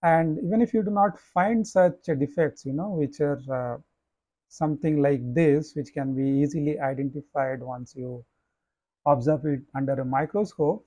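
A man, a professor giving a slide lecture, explaining in a measured way with frequent "uh" and "you know". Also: fairly high pitch, warm tone, no echo.

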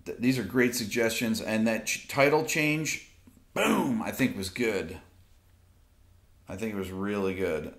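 A man in his fifties hosting a casual late-night stream from his home music studio, reading viewer questions off his phone in a relaxed, rambling way with lots of fillers. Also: lowish voice, unhurried. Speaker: YouTube music channel host. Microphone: off-camera mic, seated at desk with phone. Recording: roomy studio echo.

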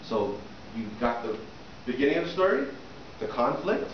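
A man's voice: medium pitch, big pitch swings, moderate pace. Middle-aged male trainer who keeps asking the class questions, recorded from the back of a small classroom, roomy, muffled and noisy. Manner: asks the room frequent questions, checking with "okay?". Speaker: soft skills trainer. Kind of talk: interview-prep workshop talk. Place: small classroom with a projector screen, indoors. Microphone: far camera at the back of the room. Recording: distant, echoey, muffled room recording with noise.

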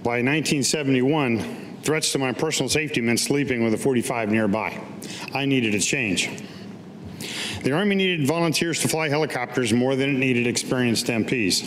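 A man's voice: medium pitch, normal speed, fairly bright tone. Older American man reading in echoey hall. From United States. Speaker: veteran reading his own written piece. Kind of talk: staged reading of autobiographical writing. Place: public meeting room, at a podium. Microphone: podium gooseneck microphone. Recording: echoey hall with background noise.

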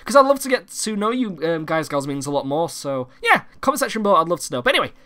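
A young man from the United Kingdom, hoarse and croaky from a cold, hosting a casual gaming-channel vlog and rambling with many fillers and restarts. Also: a little high, sing-song, fast, bright, forceful tone. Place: home recording room.

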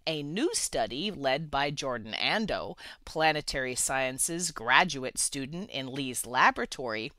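A woman's voice: extremely low pitch, lively intonation, a little slow, hardly any pauses, bright, forceful tone, dry recording. A woman hosting a tech podcast, upbeat and enthusiastic as she interviews guests and reads news. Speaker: podcast host.

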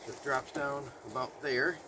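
A man's voice: medium pitch, normal speed. Middle-aged man demonstrating outdoors. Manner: relaxed, explaining step by step while working. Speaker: bushcraft demonstrator. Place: pine forest outdoors. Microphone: camera a few meters away. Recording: outdoor noise behind voice.

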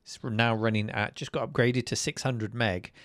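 A man's voice: medium pitch, fairly even intonation, normal speed, a bit bright, no room sound, clean studio sound. Chatty man hosting a live stream. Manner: chatty, enthusiastic. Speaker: host. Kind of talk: live stream commentary on viewer videos.

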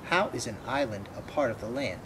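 A man's voice: medium pitch, animated, fairly quick, noisy background, lightly acted. A man voicing a small, bold, curious kitten in a children's storybook, with a questioning delivery. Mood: demanding. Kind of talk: storybook read-aloud.

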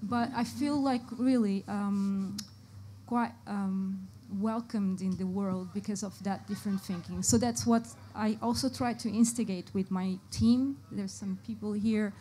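A woman's voice: medium pitch, fairly even intonation, a little slow, warm tone, slight background noise. A woman from Portugal with a Portuguese accent, speaking on a live design panel, hesitant with many fillers as she searches for words. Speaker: panelist, brand art director. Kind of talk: panel discussion. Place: live panel event before an audience.

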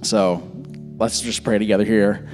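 A man in his thirties, a little hoarse, giving a relaxed church talk and prayer into a handheld mic, full of fillers and restarts. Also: on the low side, unhurried. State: slightly hoarse. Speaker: pastor. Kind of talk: informal sermon and prayer. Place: large church stage. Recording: church hall reverb. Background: soft worship music.

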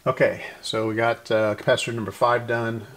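Older guy doing casual repair vlog, gravelly voice, mutters through steps, bit of background noise. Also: mid-range voice, flat delivery, brisk. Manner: unscripted, thinking aloud while working. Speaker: host repairing vintage radios. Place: indoor electronics workbench. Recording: noisy workshop, clicking sounds.

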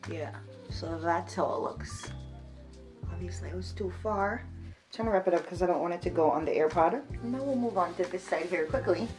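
A woman's voice: on the low side, normal speed, music in the background. A woman in her twenties from Jamaica, chatting casually to camera in a how-to vlog, explaining each step as she works. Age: 20s. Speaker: vlogger. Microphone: camera on a shelf a few feet away.

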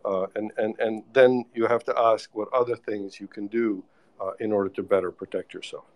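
Low-voiced man weighing his words. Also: steady tone. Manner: measured, many fillers and restarts. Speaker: expert panelist. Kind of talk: live audio panel discussion. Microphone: remote online audio call.

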